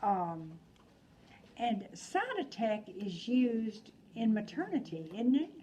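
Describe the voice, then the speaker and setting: A woman instructor lecturing a class, thinking aloud with frequent pauses and restarts. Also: fairly high pitch, big pitch swings, warm tone, a little hiss or hum. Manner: unhurried, thinking aloud, hesitant. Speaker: nursing instructor.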